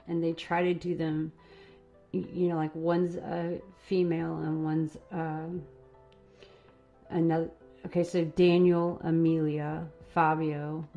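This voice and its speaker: A woman hosting a live stream, chatting casually and rambling a little while her voice is going hoarse. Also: low-pitched, warm tone, muffled recording. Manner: casual, rambling, laughs at herself. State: losing her voice. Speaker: host.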